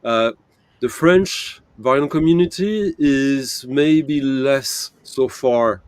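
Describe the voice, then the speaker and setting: A man's voice: medium pitch, takes it slowly, darkish tone, clear, resonant tone, no echo, studio quality. A man chatting as a panel guest over a video call, thinking aloud with many fillers and self-corrections. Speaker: panel guest, vinyl channel creator. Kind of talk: casual panel chat.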